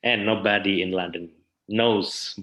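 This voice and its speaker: Low-voiced Indonesian man, speaking haltingly. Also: fairly even intonation, a bit bright, slight background noise. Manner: halting, searching for words. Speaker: interview guest, theatre researcher and festival director. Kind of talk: interview answers, conversational.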